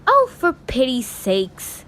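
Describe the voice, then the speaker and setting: A woman's voice: high-pitched, huge pitch swings, takes it slowly, sharp tone, a little hiss or hum, heavily acted. A teenage girl playing a blunt, cynical young factory worker in a radio drama, dismissive and matter-of-fact. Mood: exasperated. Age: teenage high school student.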